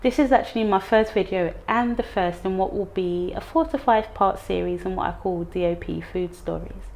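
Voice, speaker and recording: An English woman hosts a relaxed interview about food with an upbeat, friendly manner. She laughs easily and talks over a video call. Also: medium pitch. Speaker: host and food photographer.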